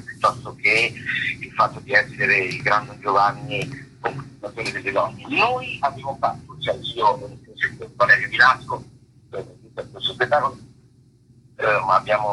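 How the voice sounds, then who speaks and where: A man giving unscripted interview answers as an actor, haltingly searching for words and rephrasing himself. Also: on the low side, sharp tone, a little hiss or hum, rough recording. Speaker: stage actor, interview guest.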